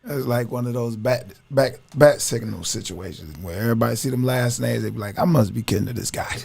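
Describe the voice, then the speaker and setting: A man's voice: medium pitch, brisk, vocal fry, a little mellow, husky. A man co-hosting a casual podcast, chiming in briefly with jokes. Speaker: podcast co-host.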